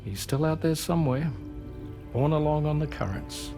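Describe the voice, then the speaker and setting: A man's voice: a little high, lively intonation, moderate pace, music in the background. An actor playing a wistful father, a seafaring former doctor and researcher, telling his story reflectively in a video game cutscene. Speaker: ship captain and former scientist.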